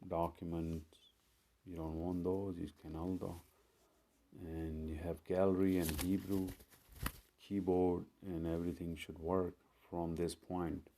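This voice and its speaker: Slow, low-voiced man walking through phone steps. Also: little pitch movement, mellow, slight background noise. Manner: step-by-step, unhurried, plain instructions with fillers. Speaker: tech tutorial host. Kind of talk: screen-recorded phone tutorial.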